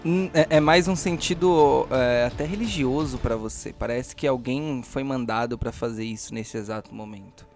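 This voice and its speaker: A man's voice: mid-range voice, moderate pace, hardly any pauses, a little mellow, faint music behind. A man with a Brazilian accent narrating a tabletop role-playing game as game master.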